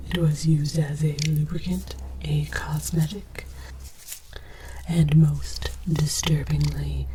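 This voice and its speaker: A woman voicing an earnest, bemused alien archaeologist in whispered ASMR, presenting with formal, deadpan academic deliberation. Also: low-pitched, background noise. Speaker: host of an educational comedy show.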